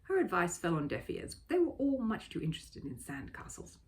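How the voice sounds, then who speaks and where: A woman reading a children's story aloud as an expressive narrator. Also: fairly low pitch, fairly quick. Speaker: storybook reader.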